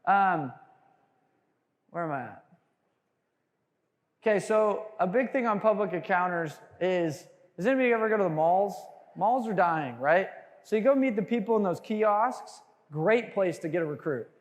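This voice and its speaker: A man giving a lively sales training talk to a live audience, joking with the crowd and asking for hands. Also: high voice, moderate pace. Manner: animated, jokey, quizzes the crowd. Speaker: sales trainer speaking to a room. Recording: long hall reverb.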